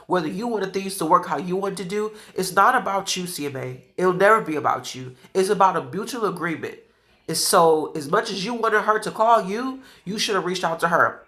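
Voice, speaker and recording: Blunt scolding man with a bright voice. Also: high voice. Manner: blunt, scolding, repeats points. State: stuffy nose. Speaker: food vlogger. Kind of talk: casual eating-show commentary.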